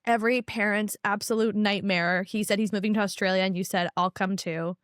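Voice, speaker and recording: A woman's voice: medium pitch, fairly even intonation, fairly quick, smooth, no echo, studio quality. A woman hosting a podcast, chatty and gushing with enthusiasm as she interviews her guest. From Canada. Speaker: podcast host.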